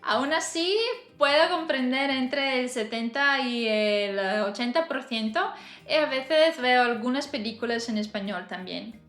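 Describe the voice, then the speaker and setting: A woman's voice: high voice, expressive, measured pace, a bit bright. A woman in her thirties chatting casually to camera as a vlogger, laughing often between hesitant fillers. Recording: roomy echo, faint music behind.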